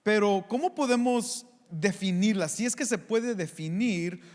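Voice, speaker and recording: High-voiced Mexican man moderating in a hall. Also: a little slow. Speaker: panel moderator. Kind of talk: casual panel moderating. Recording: reverberant hall sound.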